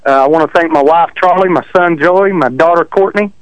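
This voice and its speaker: A man with a Texan country accent tells his stories in an easygoing, self-deprecating way. His voice sits a bit high for a man. It comes through a phone line with a dry, close sound. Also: brisk, clear, resonant tone, no room sound. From Texas. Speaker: drag racer guest. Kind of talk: casual interview chat. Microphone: phone call.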